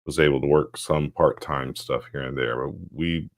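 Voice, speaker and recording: A middle-aged man, a pastor, chatting as an interview guest in an easygoing way with a booming voice. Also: steady tone, moderate pace, dry recording, clean studio sound. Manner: easygoing, loose with fillers like "like". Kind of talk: casual interview chat.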